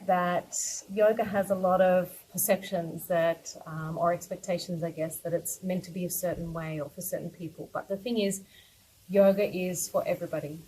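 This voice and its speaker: A woman in her thirties with an Australian accent, a yoga teacher speaking gently and earnestly in a personal message, with soft fillers. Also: fairly low pitch, slight background noise, low-quality recording.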